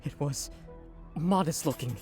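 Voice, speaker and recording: A man playing a haunted, halting young captive. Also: fairly high pitch, darkish tone, soft background music, close to an everyday voice. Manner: hesitant, haunted, halting testimony. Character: haunted young male witness, former captive.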